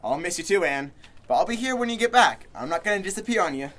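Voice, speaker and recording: A man's voice: high voice, sing-song, fast talker, fairly bright tone. A man playing a practical, reassuring young newlywed husband in a radio horror play. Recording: music under the voice.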